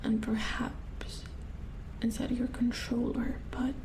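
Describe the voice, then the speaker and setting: A woman playing an attentive, mildly worried robot repair engineer in a soft-spoken ASMR roleplay, murmuring hesitantly in a breathy whisper as she works. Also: on the high side, flat delivery, slow, rough recording. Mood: worried, puzzled.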